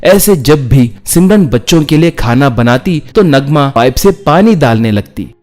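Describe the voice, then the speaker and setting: A man's voice: mid-range voice, animated, unhurried, a little mellow. A man narrating a scripted moral story as a storyteller, reading out the events in order.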